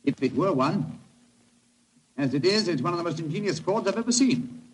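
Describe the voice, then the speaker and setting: A brisk, superior British detective in an old radio drama, swooping animatedly as he lectures and commands. The recording is vintage, with faint noise. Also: sits high, lively intonation, poor audio. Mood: excited deduction. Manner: crisp, pedantic, commanding. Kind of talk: 1940s radio drama acting.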